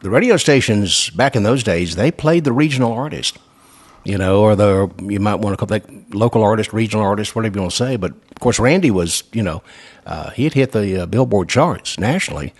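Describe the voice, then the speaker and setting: A man's voice: on the low side, animated, fairly quick, slightly rough. An older man, hosting a show in casual, folksy, rambling chat. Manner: folksy, rambling, repeats filler phrases. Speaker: radio show host.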